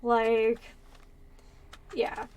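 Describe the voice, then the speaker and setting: A woman's voice: on the high side, completely flat delivery, extremely slow, darkish tone, clear, resonant tone. A woman from Pennsylvania with a Californian accent, chatting casually and rambling as she streams a game. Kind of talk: casual gameplay chat.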